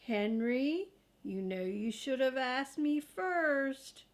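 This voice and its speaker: Older woman reading as a stern storybook dad, slow. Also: high-pitched, lively intonation, heavily acted. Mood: disappointed. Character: stern, exasperated father of a young boy.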